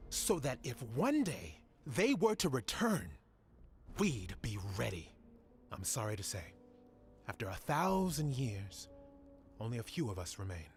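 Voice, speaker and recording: Solemn, expressive fantasy lorekeeper. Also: male voice, medium pitch, soft background music. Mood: grave. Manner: solemn, deliberate, expository. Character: grave male scholar briefing adventurers on ancient monsters. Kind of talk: scripted fantasy game cutscene acting.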